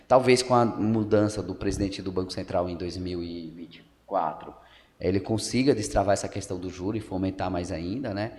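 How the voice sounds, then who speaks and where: A man's voice: sits low, a little fast, a little mellow, a little hiss or hum. Man, 30s, from Pernambuco, podcast guest, casual commentary, filler-heavy explanations, long hall reverb. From Pernambuco, Brazil. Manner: explanatory, leans on tag questions and fillers. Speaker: podcast guest, local journalist. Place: council building room.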